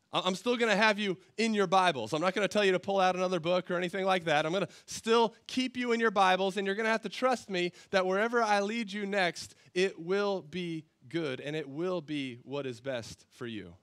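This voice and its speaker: A man's voice: high-pitched, fairly bright tone, clean tone. A man in his thirties preaching from a church stage on a headset mic, his voice a little worn from a cold, talking conversationally and with emphatic repetition. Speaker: pastor. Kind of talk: sermon.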